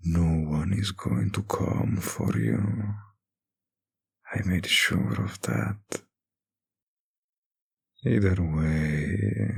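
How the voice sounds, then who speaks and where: Man playing a slow, gravelly, seductive vampire. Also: low-pitched, full character voice. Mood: menacing, soothing. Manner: slow, teasing, hesitant drawl with soft pauses. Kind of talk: intimate roleplay audio addressed to the listener.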